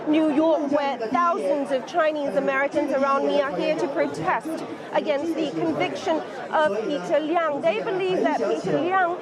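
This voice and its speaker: A woman news correspondent reporting from city streets in a measured, formal broadcast delivery. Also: high voice, steady tone, over a noisy crowd.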